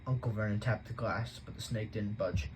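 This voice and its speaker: A man reading a novel aloud at a steady pace, with an occasional stumble he corrects. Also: fairly low pitch, normal speed, background noise. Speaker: audiobook reader.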